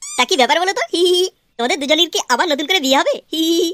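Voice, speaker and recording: A woman's voice: very high-pitched, lively intonation, rapid-fire, fairly bright tone. A woman voicing a cheeky, playful, scheming young son in a comedy cartoon. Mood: teasing. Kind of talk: comic cartoon dialogue.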